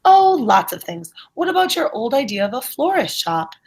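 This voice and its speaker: Woman reading a husky, brusque bachelor gentleman. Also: on the high side, big pitch swings, normal speed, voice pushed up. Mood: breezy. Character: Higgins, brusque, dismissive middle-aged bachelor gentleman. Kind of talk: amateur readers' theatre play reading.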